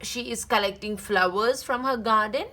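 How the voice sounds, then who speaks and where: A woman hosting a read-aloud, narrating a children's story deliberately with drawn-out phrase endings. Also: a little high, bright, forceful tone. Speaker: read-aloud channel host.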